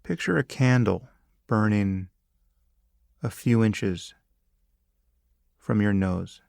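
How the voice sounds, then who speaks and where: A man leading a guided meditation as a teacher, speaking calmly and deliberately in short phrases with long pauses. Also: fairly low pitch, gravelly, no echo, clean studio sound. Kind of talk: guided meditation, spoken instruction.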